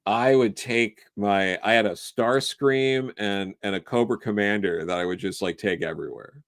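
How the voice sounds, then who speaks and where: A man with a fairly low voice talks as a guest on a chat show. He rambles with enthusiasm and swears now and then, and his voice sounds dry and close. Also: normal speed, no echo. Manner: enthusiastic, rambling, full of fillers and restarts, swears casually. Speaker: guest, comics writer. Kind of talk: casual chat.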